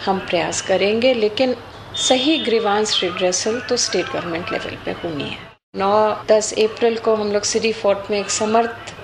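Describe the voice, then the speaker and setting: A woman with an Indian accent answering formally as a government official, measured and explanatory, mixing in English. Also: medium pitch. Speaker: government official being interviewed. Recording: clear background noise, on-location ambience.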